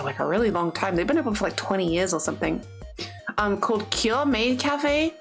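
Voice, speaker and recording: A woman streamer in casual chat with her viewers, bubbly and rambling with many fillers and restarts, tired and lower-voiced from jet lag.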